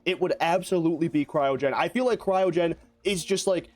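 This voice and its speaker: Hoarse, excitable young gamer man. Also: on the high side. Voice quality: raspy. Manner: excitable, slangy, fast-talking, rambling. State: hoarse from lost voice. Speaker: gaming YouTuber. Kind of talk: energetic gameplay commentary.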